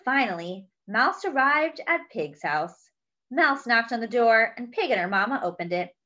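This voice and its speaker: Bright, animated woman reading aloud. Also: fairly high pitch, moderate pace, muffled recording. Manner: expressive, chats to the child listener. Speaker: children's story reader. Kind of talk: read-aloud storytelling.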